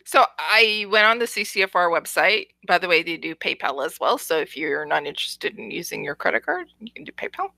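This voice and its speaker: A woman speaks in a bright, forward voice that sits a little low. She is chatty and casual, telling stories as a podcast co-host, with a dry, close sound. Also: sing-song, a little fast, creaky, no room sound.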